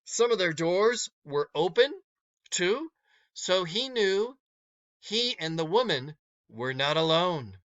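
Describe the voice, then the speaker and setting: A male teacher narrates a short story for students to follow along. His voice is bright and forceful, a little high, and he takes it at a slow pace. The recording is slightly dull. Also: sing-song.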